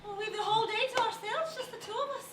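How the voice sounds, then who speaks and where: An actress playing a sweet, cheerful, attentive wife in a stage play, speaking in a gentle, chatty way. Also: female voice, very high-pitched, quick pace. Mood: cheerful, caring. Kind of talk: stage musical acting. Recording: roomy, distant stage recording, faint noise.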